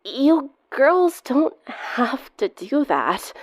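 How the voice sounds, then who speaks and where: A woman voicing a sick, weary teenage girl in a cartoon story with a gravelly, throaty voice. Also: sits high, big pitch swings, drawn-out words, dry recording, voice pushed up, full character voice. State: sounding ill.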